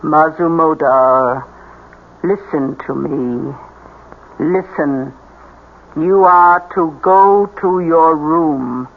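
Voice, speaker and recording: An actress playing a scheming fake medium in a radio drama, with a controlling, commanding manner. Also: female voice, sits low, drawn-out words, long pauses, exaggerated voice. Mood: hypnotic commanding. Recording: muffled, noisy old radio broadcast.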